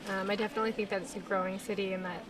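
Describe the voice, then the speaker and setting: A young woman answers a street-style interview in a relaxed, hesitant way, with filler ums between her thoughts. She stands in a college hallway where loud chatter and noise surround her. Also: medium pitch, racing. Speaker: student interviewee. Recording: noisy hallway recording.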